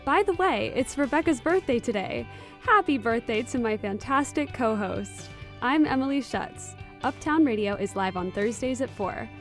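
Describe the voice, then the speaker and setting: A woman with a fairly high voice co-hosts a radio news show, reading in a friendly, upbeat manner. Faint music plays under her. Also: animated, normal speed, a bit bright. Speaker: co-host.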